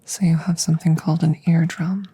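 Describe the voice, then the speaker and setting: A woman playing a calm, curious alien scientist in a soft ASMR roleplay, whispering and murmuring close to the mic in a measured, formal way. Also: deep voice, a little hiss or hum, natural voice. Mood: curious, clinical. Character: calm, clinical, curious female alien scientist examining a visitor. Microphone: close microphone.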